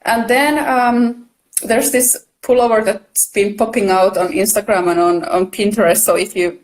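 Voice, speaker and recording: A woman from Finland, a native Finnish speaker, talking casually as a knitwear designer guest in a live video chat, soft-spoken and friendly. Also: medium pitch, fairly even intonation, a little slow, rough recording.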